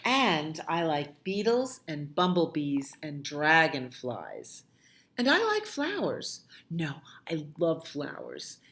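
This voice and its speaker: An eager, playful storybook child acted out by a woman. The delivery is emphatic and contrary, with big swings of pitch and favourite words stretched out slowly. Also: wildly swooping pitch, a bit bright, exaggerated voice. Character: eager, insistent, playful young child full of likes. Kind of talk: picture-book dialogue read aloud.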